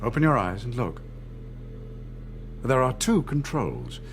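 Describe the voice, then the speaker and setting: A middle-aged man acting a cold, controlled interrogator in a radio drama, measured, didactic and condescending. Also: mid-range voice, huge pitch swings, exaggerated voice. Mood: cold, threatening. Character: cold, controlled party interrogator and torturer, patronising and menacing.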